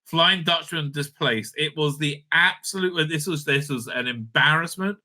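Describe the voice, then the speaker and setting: A man's voice: on the high side, measured pace, sharp tone. A man hosting a casual fan review, ranting bluntly with plenty of swearing and exasperation, often tagging his points with a questioning "right?". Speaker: host of a fan review show. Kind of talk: casual opinionated review rant.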